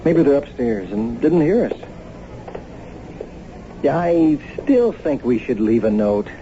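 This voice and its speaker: Mellow, skeptical young American husband. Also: male voice, medium pitch, sing-song, takes it slowly. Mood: easygoing. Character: skeptical, rational young husband, scientifically minded, dismissive. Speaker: radio drama actor. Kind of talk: old-time radio drama acting. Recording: muffled, noisy radio drama broadcast.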